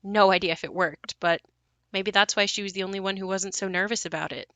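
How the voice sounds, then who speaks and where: An actress plays a young woman narrating memories of a haunted house in an audio drama. Her voice is clear-edged and bright, recorded close and dry. She confides in a matter-of-fact, wry and reflective way. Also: mid-range voice, fairly even intonation, brisk, no echo, close to an everyday voice. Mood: musing. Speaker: narrator and lead of an audio play. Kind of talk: first-person dramatic monologue.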